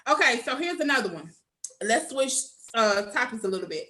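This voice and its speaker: A woman hosting a panel talk over a video call, lively and asking questions. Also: fairly high pitch, fairly quick. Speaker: host.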